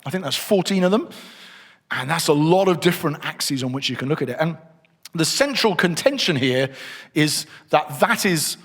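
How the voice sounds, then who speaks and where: Middle-aged man, church teacher, casual and thinking aloud, voice a little high, ringing hall sound. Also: animated, normal speed, fairly bright tone. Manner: conversational, thinking aloud with restarts. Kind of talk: church teaching session with slides. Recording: long reverb of a hall.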